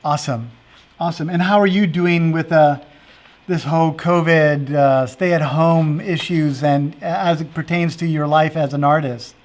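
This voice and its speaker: High-voiced man hosting, meandering. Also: fairly even intonation, unhurried. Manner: meandering, earnest, filler-heavy. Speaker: festival host interviewing dancers. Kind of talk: casual interview chat.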